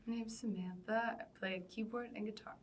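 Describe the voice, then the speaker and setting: A woman's voice: fairly high pitch, steady tone, brisk. A young American woman talking casually in a group interview. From Cincinnati, United States. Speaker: band keyboardist and guitarist being interviewed. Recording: distant mic with faint room noise.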